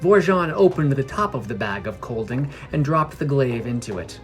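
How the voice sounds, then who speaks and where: A man's voice: mid-range voice, lively intonation, moderate pace, soft background music. A man reading scripted narration for a fantasy adventure story. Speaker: narrator of a fantasy fiction podcast.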